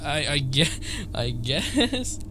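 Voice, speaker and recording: A man's voice: a little high, sing-song, very slow, noisy background. A man live-streaming a game, reading story text aloud with stumbles, corrections and chuckles between his own comments. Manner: chuckles, stumbles over words and corrects himself. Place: streaming setup indoors. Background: game music.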